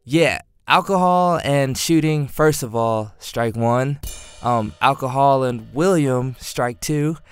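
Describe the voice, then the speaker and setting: Male guest storyteller, casual, animated, self-deprecating, faint music behind. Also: medium pitch, takes it slowly, clean tone. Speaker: cinematographer guest.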